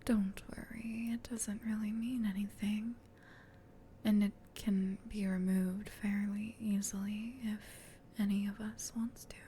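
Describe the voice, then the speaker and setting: Soft whispery demon girl woman acting, slow n soothing, asmr comfort thing, faint rumbly noise behind. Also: flat delivery, natural voice. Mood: gentle, reassuring. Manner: soothing, tender, reassuring, unhurried. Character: gentle, protective female sleep paralysis demon comforting a human.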